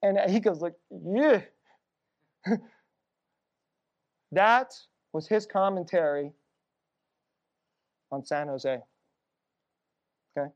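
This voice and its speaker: A middle-aged Korean American woman telling stories in a sermon, casual and conversational, dropping to a hushed low voice at tense moments. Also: expressive, extremely slow, pauses often, muffled recording. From United States.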